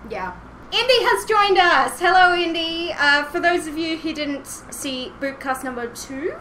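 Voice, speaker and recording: A woman's voice: extremely high pitch, measured pace, pushed and bright. A young woman hosting a casual podcast over a call, chatty and giggly. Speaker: podcast host. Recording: clearly noisy voice-call audio.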